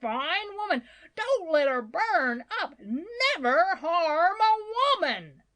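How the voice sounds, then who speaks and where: A woman voicing an excitable, blustering, gallant gentleman with a pompous, exclamatory manner in a storybook reading. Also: extremely high pitch, slow, voice pushed up, exaggerated voice. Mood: pompous oratory. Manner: pompous, frantic, repetitive exclamations.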